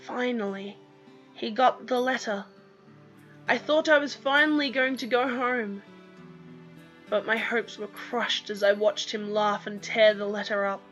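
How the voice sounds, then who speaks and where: Slow, bright-voiced woman narrating. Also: on the high side, steady tone. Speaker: story narrator and author. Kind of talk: scripted first-person story narration. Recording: dull lo-fi microphone sound. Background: music.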